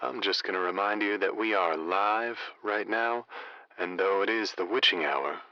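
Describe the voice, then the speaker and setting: Theatrical radio host, deep male voice, forceful bright tone, flirtatious, florid, dry slightly muffled studio sound. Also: normal speed, no room sound. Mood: tactful. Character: smooth, flirtatious, flowery late-night conspiracy radio host. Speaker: late-night radio host. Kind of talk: audio drama, radio show hosting.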